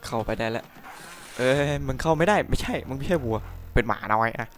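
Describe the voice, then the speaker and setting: A man's voice: fast, background noise. A man doing improvised comedic cartoon dubbing, exclamatory and theatrical, with crude, slangy wording. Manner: theatrical, often jumps into shrieks and falsetto. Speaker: comic dubber of a cartoon.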